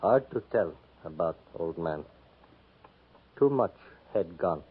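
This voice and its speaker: A man acting as a solemn, mournful scout who speaks plain, halting broken English in a 1950s radio western. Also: sits low, animated, slightly rough, heavily acted. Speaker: supporting actor in a radio western. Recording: muffled 1950s radio recording, faint noise.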